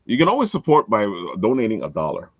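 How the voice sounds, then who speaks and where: A man's voice: on the low side, huge pitch swings, quick pace, husky, no echo, lo-fi sound. A man hosting a casual promotional chat, talking in a folksy way and repeating phrases for emphasis. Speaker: show host.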